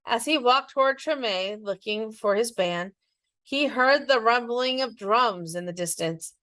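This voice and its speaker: A woman reading a children's picture book aloud in an expressive, teacherly storytelling way. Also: on the high side, measured pace, no echo.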